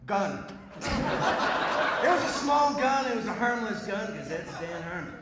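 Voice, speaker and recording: A man's voice: sits high, animated, unhurried. A man improvising a comic story live on a theatre stage, speaking into a handheld microphone. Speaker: improv comedy performer. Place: theatre stage before an audience. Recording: echoey hall, background noise.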